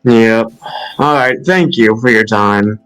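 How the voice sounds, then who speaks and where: Smooth voice guy, short plain replies, faint music. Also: fairly low pitch, slow pace, a bit bright, poor audio. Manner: brief, matter-of-fact. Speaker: player. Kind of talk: in-character tabletop roleplay.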